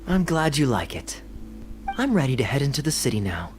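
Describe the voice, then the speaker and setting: An actor playing a suave young male knight who talks in a smooth, playful, gently mocking way. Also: mid-range voice, big pitch swings, fairly quick, lightly acted. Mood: relaxed. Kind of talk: game voice acting. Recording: game audio with music behind.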